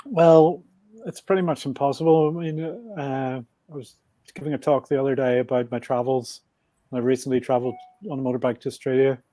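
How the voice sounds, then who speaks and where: A man in his sixties with a Belfast Northern Irish accent, a podcast guest reflecting on things in a measured, thinking-aloud way. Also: medium pitch, level tone, dark tone, no echo, low-quality recording. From Northern Ireland. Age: 60s. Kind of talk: casual interview talk.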